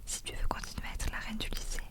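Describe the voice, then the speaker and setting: A woman's voice: quick pace, natural voice. A woman voicing a sardonic teenage schoolgirl who teases drily in a whisper, as part of a novel reading. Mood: wry. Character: sardonic teenage schoolgirl, teasing her popular friend.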